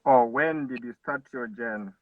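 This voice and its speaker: Guy hosting a show, kinda halting, tinny phone line, dry and far off. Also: mid-range voice, no room sound. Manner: halting, searching for words. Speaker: podcast host. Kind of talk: casual interview.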